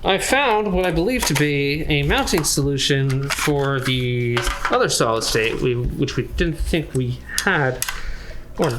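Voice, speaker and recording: A man chatting casually and haltingly as he thinks aloud during hands-on work. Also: a little high, animated, background noise. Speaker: PC builder helping a friend. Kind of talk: casual hands-on chat while working. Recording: loud music behind the voice.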